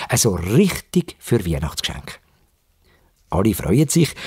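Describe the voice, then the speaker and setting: A man with a Swiss German accent narrating a children's story dramatically, with suspenseful pauses and repetitions. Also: fairly low pitch, breathy edge, dry recording, clean studio sound. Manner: expressive, suspenseful, with repetitions for effect.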